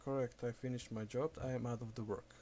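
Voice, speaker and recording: A man on a prank phone call, speaking slowly and deliberately, overly polite, acting confused. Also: medium pitch, fairly even intonation, mellow. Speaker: scambaiter posing as a caller.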